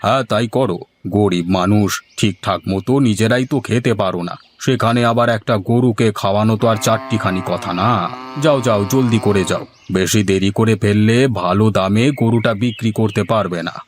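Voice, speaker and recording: A man's voice: on the low side, a little fast, slight background noise, natural voice. A man voicing an inquisitive, patronizing village headman in a moral-story cartoon.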